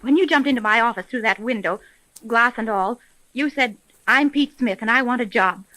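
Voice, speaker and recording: A woman's voice: on the high side, normal speed, bright, forceful tone, no room sound, rough recording. A woman playing an earnest private detective who runs an agency, in a 1930s radio drama recording. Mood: grave, hurt.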